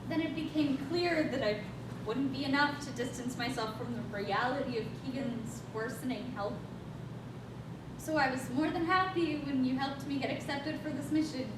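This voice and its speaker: A woman acting in a staged reading as a guilt-ridden, defensive young woman on a space mission, speaking in an emphatic, confessional way. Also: lightly acted. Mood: guilty, confessing. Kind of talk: staged reading, dramatic monologue. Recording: echoey room, distant mic, rumbling noise.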